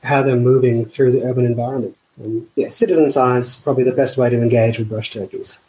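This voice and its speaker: A man's voice: mid-range voice, steady tone, a little fast, mellow, poor audio. A man speaking casually as a researcher guest. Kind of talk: casual chat.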